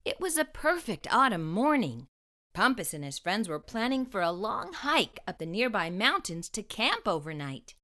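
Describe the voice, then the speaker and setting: Female narrator for a kids' picture book: bright voice with very lively, expressive intonation. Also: medium pitch, normal speed. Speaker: children's story reader. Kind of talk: expressive read-aloud storybook narration.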